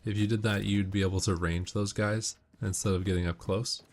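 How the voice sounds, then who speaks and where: A man's voice: lowish voice, fairly even intonation, a little fast. A man giving casual, matter-of-fact walkthrough commentary on a video game, explaining tips as he plays. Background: video game audio with music and gunfire.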